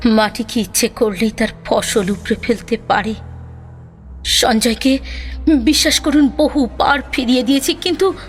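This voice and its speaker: A woman playing a sorrowful but steadfast mistress in an audio drama, with an earnest, measured, pleading manner. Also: a little high, soft background music, natural voice. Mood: earnest, firm. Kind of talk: Bengali audio drama acting.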